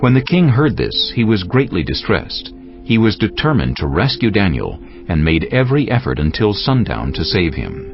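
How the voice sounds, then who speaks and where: A man's voice: sits low, huge pitch swings, moderate pace. A man narrating scripture in a scripted, dramatic storytelling style. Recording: muffled sound with faint music underneath.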